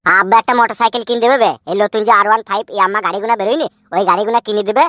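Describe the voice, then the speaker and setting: A man plays an eager young village lad from a comedy cartoon. He talks very fast, naive and full of brag, pitched far below the shrill cartoon wife. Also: fairly even intonation, sharp tone, no echo. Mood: eager, boastful.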